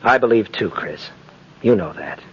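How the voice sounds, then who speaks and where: A man's voice: on the low side, expressive, normal speed, close to an everyday voice. An actor playing an earnest, romantic male lawyer in a theatrical, emphatic 1950s radio style. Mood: warm, teasing. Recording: muffled, hissy old radio broadcast.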